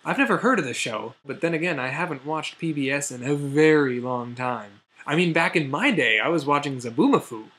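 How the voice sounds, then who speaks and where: A man's voice: fairly high pitch, lively intonation, a little fast, a bit bright. A young American man hosting a chatty science news explainer, dropping jokey asides and mock exclamations as he goes. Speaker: host of a science news video show. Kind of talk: chatty, semi-scripted explainer to camera.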